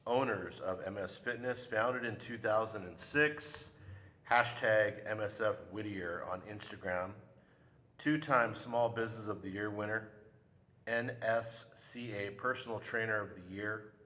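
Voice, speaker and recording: A man hosting a local interview show, talking in a relaxed, conversational way. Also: lowish voice, measured pace, in a large hall. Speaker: show host. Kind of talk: casual interview. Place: local TV studio.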